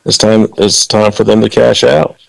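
A man's voice: on the low side, steady tone, moderate pace, vocal fry, no room sound, low-quality recording. A man from the Dominican Republic, a farmer guest on a radio interview, talking earnestly with frequent fillers.

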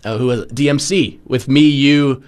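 A man's voice: medium pitch, moderate pace, a little mellow. A man hosting a radio show, chatting casually.

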